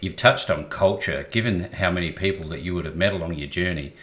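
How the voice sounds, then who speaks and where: Low-voiced guy hosting, friendly quick questions about the guest's life. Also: a bit bright. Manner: friendly, asks short personal questions. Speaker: interview host. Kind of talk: interview questions.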